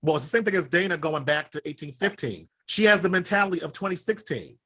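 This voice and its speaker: A man co-hosting a podcast in casual chat, pressing his point in a halting way and repeating himself. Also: fairly high pitch, animated, bright, forceful tone, slightly rough, dry recording. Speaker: podcast co-host.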